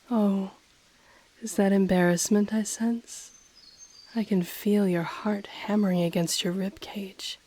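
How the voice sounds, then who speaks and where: A woman voicing an ancient, haughty, teasing dragon in human form, in an intimate audio roleplay with a breathy voice that often drops to a whisper. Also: mid-range voice, mellow. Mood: flirtatious, amused. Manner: slow, deliberate, condescending, teasing. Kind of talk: intimate audio roleplay, scripted character acting.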